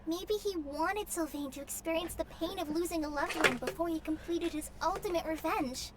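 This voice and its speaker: A woman's voice: very high voice, normal speed, very noisy. A woman voicing an earnest, empathetic and troubled young helper in a video game. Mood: pensive.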